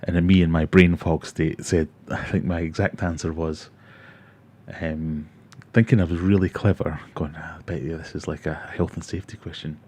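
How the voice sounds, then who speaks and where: Man, Glaswegian accent, 40s, livestream host, mellow tone, husky, chatty storyteller. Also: low-pitched, fairly even intonation, moderate pace. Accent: Glaswegian Scottish. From Scotland. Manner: chatty storytelling with self-mocking humor. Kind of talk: casual livestream chat reading comments.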